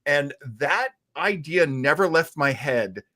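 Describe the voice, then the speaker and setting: A man co-hosting a casual livestream chat, talking in an excitable, exclamatory way. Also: on the high side, no room sound, clean studio sound. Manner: excitable, exclamatory, quick to laugh. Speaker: show co-host.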